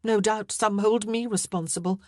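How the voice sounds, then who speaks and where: A woman voicing an anxious, proud young queen in an audiobook, speaking in an earnest, measured way. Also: medium pitch, fairly even intonation, a little mellow, no echo, clean studio sound, voice pushed up, close to an everyday voice. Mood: guarded. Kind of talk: audiobook character dialogue.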